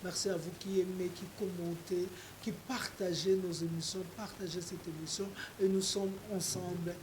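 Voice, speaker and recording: A man's voice: high-pitched, level tone, normal speed, noisy background. A man from Congo hosting a political talk show, asking questions and signing off formally, mixing French and Lingala.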